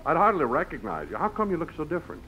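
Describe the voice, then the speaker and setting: An actor playing a plain-spoken character in a 1950s radio comedy. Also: male voice, a little high, very animated, rapid-fire. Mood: matter-of-fact. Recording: dull old radio recording with noise.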